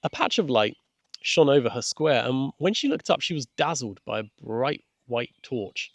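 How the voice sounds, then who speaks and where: A man reading a scripted children's audiobook as narrator, with measured, gentle storytelling. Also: mid-range voice, very animated, gravelly, no room sound, muffled recording. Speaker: author and narrator.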